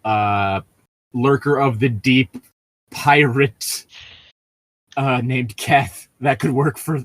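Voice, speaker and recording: A man's voice: mid-range voice, slow, a bit bright, dry recording. A man co-hosting a podcast, reading a story aloud in a casual, chatty way and often chuckling. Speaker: podcast co-host.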